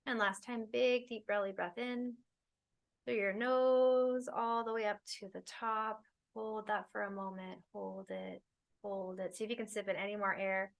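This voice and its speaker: A woman leading a guided meditation, speaking soothingly in unhurried phrases with long pauses. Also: medium pitch, fairly even intonation, gravelly. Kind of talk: guided meditation, spoken slowly to listeners.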